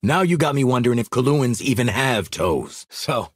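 A man's voice: medium pitch, big pitch swings, normal speed, breathy edge, dry recording, studio quality. A man playing a cocky, wry mercenary marksman in a video game, streetwise and sardonic, barking commands to his squad and swearing freely. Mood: wry, casual.

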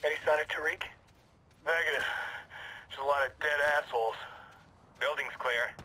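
An actor playing a tense special forces soldier in a military shooter game, giving clipped, urgent commands and callouts. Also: male voice, a little high, normal speed, pushed and bright, rough recording. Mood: focused, terse. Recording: gunfire and battle noise behind. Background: gunfire, night combat ambience.

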